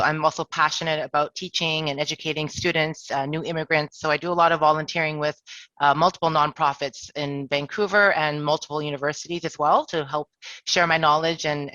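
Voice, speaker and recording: A woman's voice: low-pitched, fairly bright tone, no echo, dull audio. A woman presenting an online career workshop as an HR director, chatty and self-deprecating with jokes. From Toronto, Canada. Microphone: video call.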